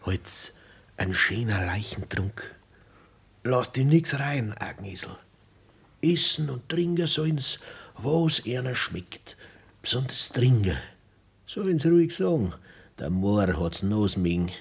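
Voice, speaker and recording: An actor playing a dying peasant man in a 1960s radio play, speaking in a quiet, resigned way. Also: medium pitch, animated, slow, creaky, husky, heavily acted. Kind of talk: 1960s radio drama acting.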